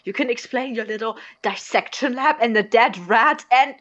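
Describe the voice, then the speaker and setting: A woman playing an appalled, anxious young male college roommate in an audio drama, blunt and emotional, with a husky, breathy voice. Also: fairly high pitch, normal speed, a bit bright, lo-fi sound, natural voice. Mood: outraged.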